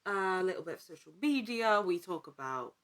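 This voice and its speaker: A woman's voice: fairly low pitch, animated, fairly quick, fairly bright tone. A woman speaking as a guest in a casual interview, in a wordy, rambling way that often interrupts itself. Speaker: podcast guest, actor and producer.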